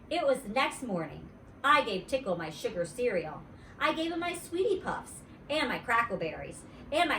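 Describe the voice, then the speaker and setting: Woman, animated children's read-aloud, expressive storyteller, distant mic, echoey room. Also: fairly high pitch. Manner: expressive, dramatizing dialogue. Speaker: teacher reading a children's book aloud. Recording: roomy echo, distant mic, faint noise.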